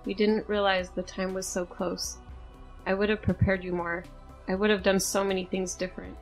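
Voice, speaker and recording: A woman's voice: mid-range voice, level tone, moderate pace, soft background music, close to an everyday voice, rough recording. A woman playing an exhausted, tender and resigned female warrior in an audio drama. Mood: weary, regretful.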